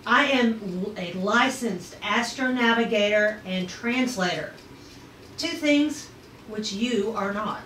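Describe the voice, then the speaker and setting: A woman's voice: medium pitch, slow, fairly bright tone. A woman reading the part of a defiant, self-assured young astronavigator in a retro space adventure table read. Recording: echoey room, distant mic, faint noise.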